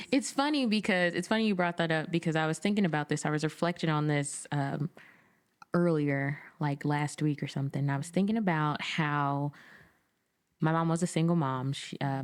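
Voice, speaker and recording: Low-voiced woman in her twenties. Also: moderate pace. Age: 20s. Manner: laughing, storytelling, animated quoting. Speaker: podcast co-host. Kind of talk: casual chat.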